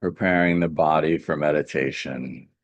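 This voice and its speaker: Very deep-voiced man guiding meditation. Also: no echo, lo-fi sound. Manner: calm, gentle, deliberate with pauses. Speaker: meditation teacher. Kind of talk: guided meditation.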